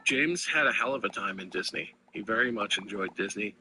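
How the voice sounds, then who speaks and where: A man chatting casually as a podcast co-host, an animated storyteller who swears freely and laughs often. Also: on the low side, brisk, a little hiss or hum, low-quality recording. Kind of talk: casual chat.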